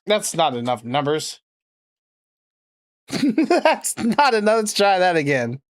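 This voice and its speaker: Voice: medium pitch, sing-song, slow pace. A prank caller and streamer chatting casually, with a sarcastic, crude, rambling way of talking. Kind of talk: casual livestream chat and prank calling.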